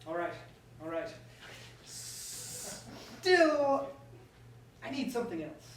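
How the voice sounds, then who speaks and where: A man playing a pompous, indignant but soft-hearted young prince in a staged verse comedy, speaking theatrically. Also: high voice, very animated, very slow, full character voice. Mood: wounded, demanding. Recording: very echoey room, distant mic, noise.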